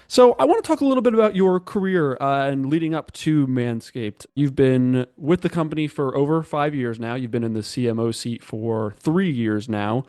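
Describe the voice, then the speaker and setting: A man hosting a marketing podcast, reading a scripted intro with upbeat, clear delivery and then asking interview questions. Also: medium pitch, moderate pace, hardly any pauses, a little mellow, no room sound, studio quality. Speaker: podcast host and reporter.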